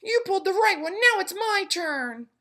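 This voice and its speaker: A woman voicing a sly talking pigtail that coaxes and whines in a squeaky voice, reading a storybook aloud. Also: big pitch swings, normal speed, pushed and bright, heavily acted. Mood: coaxing. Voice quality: squeaky and coaxing. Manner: whiny, persuasive. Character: sly wheedling talking pigtail, tiny and pushy.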